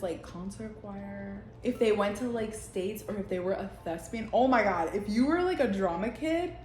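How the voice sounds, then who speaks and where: Young woman vlogger, Spanish first language, blunt and salty, middle-pitched, echoey room. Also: a little mellow. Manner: blunt, profane, rambling with frequent laughs. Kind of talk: unscripted casual monologue. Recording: roomy echo.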